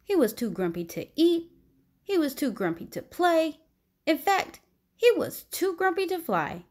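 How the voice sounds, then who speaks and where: A woman reading a children's picture book aloud in an expressive, deliberate, dramatic storytelling manner. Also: sits high. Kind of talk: expressive read-aloud narration.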